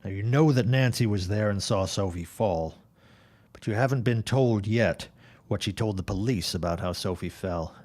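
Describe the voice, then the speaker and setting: Man playing protective father and neighbor, resolute, lowish voice, early twentieth-century audio drama. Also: normal speed, a little mellow, natural voice. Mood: grave.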